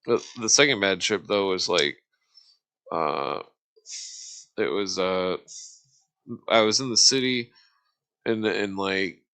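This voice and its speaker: A middle-aged man rambling through casual stories in a halting, repetitive way, with slangy and profane phrasing. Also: sits low, pushed and bright, smooth.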